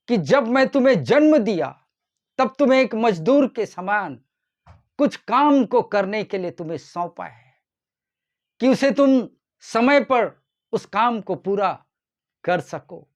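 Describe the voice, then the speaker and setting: A man preaching a short devotional sermon as a pastor, addressing his listeners in a deliberate manner. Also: sits high. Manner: deliberate, repeatedly addressing listeners as dear ones.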